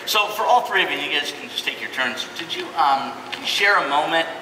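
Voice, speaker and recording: A man's voice: fairly high pitch, animated, fairly quick. A man moderating a live panel interview on stage in a large theatre. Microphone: distant audience camera. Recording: echoey, noisy auditorium recorded from far off.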